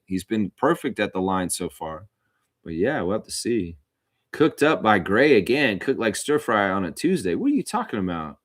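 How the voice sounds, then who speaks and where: A man's voice: fairly low pitch, fairly bright tone. A man hosting a casual basketball watchalong, calling the play-by-play with informal, chatty asides. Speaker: live stream host calling play-by-play.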